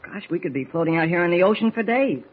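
An actor playing an eager, curious young sidekick in a 1940s radio serial, boyish, earnest and exclamatory. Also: male voice, high voice, lively intonation, fast talker, husky. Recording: muffled, noisy old radio recording.

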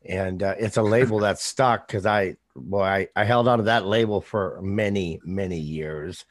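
Halting low-voiced male host. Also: no echo, clean studio sound. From American Midwest. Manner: halting, with many fillers, trailing off quietly. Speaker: podcast host. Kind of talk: casual interview chat.

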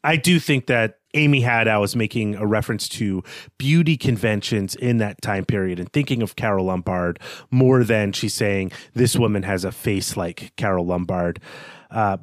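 A man's voice: lowish voice, no echo, clean studio sound. A man co-hosting a podcast, casually analysing a book and thinking aloud as he goes. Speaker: podcast host.

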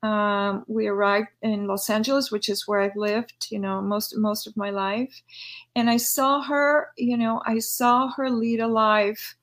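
A woman's voice: fairly high pitch, fairly even intonation, a little slow, a bit bright. A middle-aged woman from Peru, a podcast guest, talking casually with many fillers and restarts. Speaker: podcast guest, beauty founder. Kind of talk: casual interview talk.

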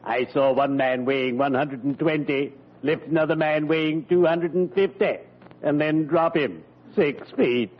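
A man's voice: fairly high pitch, lightly acted. Lugubrious male undertaker, played by an actor, radio comedy. Genteel, mock-solemn, cheerfully morbid. Muffled, noisy vintage sound. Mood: solemnly morbid. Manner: formal, slow, mock-solemn phrasing. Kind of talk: old-time radio comedy acting. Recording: noisy, dull old radio recording.

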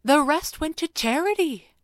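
A woman voicing a middle-aged mother in a story, scolding and defensive, gentle but quick to turn stern. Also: high-pitched, lively intonation, no echo, clean studio sound, voice pushed up. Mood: frustrated.

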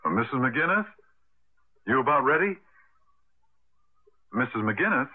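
An actor playing a sensible, reassuring young husband in a radio drama, practical and conversational. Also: male voice, mid-range voice, sing-song, unhurried, slight background noise. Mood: uneasy. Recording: tinny narrow-band vintage radio broadcast.

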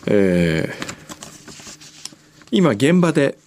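A man's voice: medium pitch, very animated, very slow, a little mellow, slight background noise. A man hosting a casual radio chat, playfully drawing out his reactions as he opens listener gifts and reads messages. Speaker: radio show host.

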